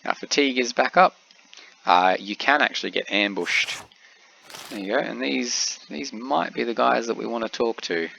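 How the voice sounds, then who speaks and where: A man with a cold, sounding a little congested, giving relaxed game commentary with frequent pauses. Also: medium pitch, vocal fry, a little hiss or hum, rough recording. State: has a cold, slightly congested. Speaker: gameplay commentator.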